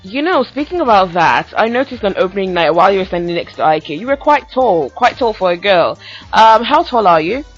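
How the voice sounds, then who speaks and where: A man's voice: high voice, sing-song, a little fast. A man hosting a podcast interview, upbeat and chatty, often laughing. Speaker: podcast host. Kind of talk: casual interview. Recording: dull lo-fi audio with faint music.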